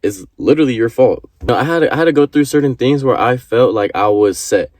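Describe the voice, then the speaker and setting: A man's voice: medium pitch, lively intonation, fast, darkish tone, no echo, clean studio sound. A man in his early twenties giving an earnest motivational talk to camera, repeating his points and swearing casually. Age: 20s. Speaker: vlogger.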